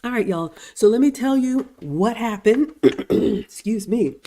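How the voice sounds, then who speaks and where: A middle-aged woman with a slightly raspy voice, chatting casually on a livestream as she reads out viewer comments, still getting over a cold. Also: a little high, animated, a little slow, warm tone. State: recovering from a cold. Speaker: livestream host and etiquette teacher.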